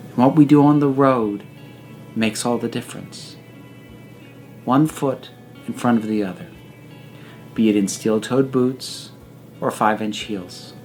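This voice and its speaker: A speaker delivering a scripted personal reflection like a speech, with deliberate, emphatic phrasing and pauses. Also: medium pitch. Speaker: video essayist reading own personal reflection.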